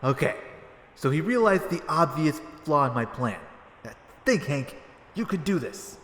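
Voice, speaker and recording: Man as dim male detective, very lively intonation, befuddled, whiny, earnest, comedy audio drama. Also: a little high, a little slow, exaggerated voice. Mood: bumbling bravado. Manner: befuddled, whiny, literal-minded. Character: dim, easily confused male police detective, earnest and bumbling. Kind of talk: comedic audio play acting.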